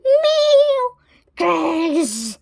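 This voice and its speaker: A woman's voice: extremely high pitch, huge pitch swings, very slow, creaky, a little mellow, no echo, studio quality, voice pushed up, exaggerated voice. A woman voicing an angry wet pet cat in a storybook, with yowling meows and growls. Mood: sneering.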